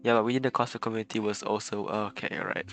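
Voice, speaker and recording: A man chatting casually on a game stream, halting and often repeating his words. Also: lowish voice, level tone, a bit bright, soft background music. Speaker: live streamer.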